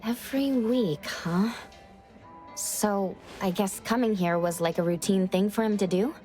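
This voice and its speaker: A woman's voice: medium pitch, measured pace, mellow, soft background music, lightly acted. A woman voicing an earnest young female investigator in a video game, polite, thoughtful and a little hesitant. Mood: inquiring, polite.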